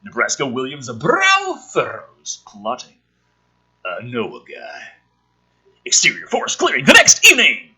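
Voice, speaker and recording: A man's voice: medium pitch, pushed and bright, slightly rough. A man sarcastically reading through a film script and commenting on it in a profane, mocking, theatrical way. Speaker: host of a script review show.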